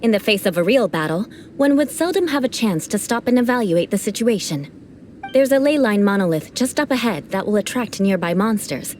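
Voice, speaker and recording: A woman's voice: mid-range voice, lively intonation, brisk, darkish tone, a little hiss or hum, lightly acted. A woman voicing a proud, formal young noblewoman knight who mentors with dry, teasing remarks in a video game. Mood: cool. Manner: formal, haughty, dryly teasing. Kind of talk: game cutscene voice acting.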